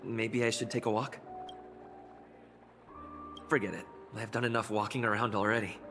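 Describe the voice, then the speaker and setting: An actor playing a calm, caring young male traveler thinking aloud in a low-key, reflective way in a game. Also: normal speed, music in the background, lightly acted. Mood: calm, musing. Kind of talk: game voice acting, quiet monologue.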